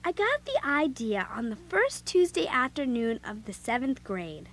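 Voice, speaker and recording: A woman's voice: high-pitched, measured pace, full character voice. A woman with an American accent playing an outspoken, eager seventh-grade girl narrating and acting in a children's audio drama. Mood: storytelling. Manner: animated, blunt.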